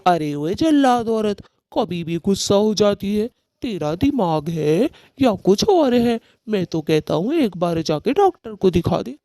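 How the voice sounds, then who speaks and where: A melodramatic male cartoon bird acted by a man with a soft-edged, mellow tone and very animated intonation. He sounds like an aggrieved husband, reproachful and scornful, recorded close and dry. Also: sits high, measured pace, few pauses, no echo, exaggerated voice. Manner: dramatic, reproachful. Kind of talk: Hindi cartoon story dialogue.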